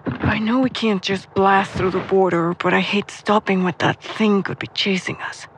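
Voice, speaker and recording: A woman's voice: mid-range voice, fairly even intonation, moderate pace, lightly acted. An actress playing an uneasy, polite young woman traveling with friends, hesitant, in a naturalistic audio drama. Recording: clear vehicle and ambient noise behind.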